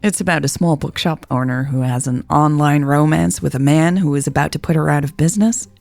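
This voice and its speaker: A woman's voice: low-pitched, a little fast, creaky, a little mellow, no room sound. A woman voicing a shy, wry female bookshop owner who is easily flustered, in a romance audiobook. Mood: nervous rambling.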